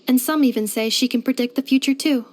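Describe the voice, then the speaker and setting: A woman's voice: sits high, a little mellow, close to an everyday voice. A woman voicing a polite, earnest female robot in a video game, speaking formally and precisely. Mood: calm, factual. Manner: formal, precise, measured delivery with clinical machine-like phrasing. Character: polite female robot maid, earnest, gentle and literal-minded. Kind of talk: scripted video game character voice lines.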